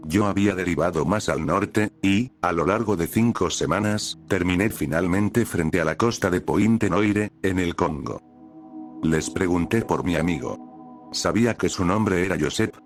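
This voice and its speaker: Deep-voiced man narrating horror stories. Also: normal speed, faint music behind.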